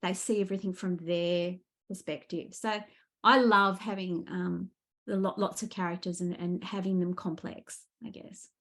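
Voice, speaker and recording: A woman chatting casually as a guest author, thoughtful and animated in how she explains things. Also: on the low side, normal speed. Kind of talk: casual book interview chat.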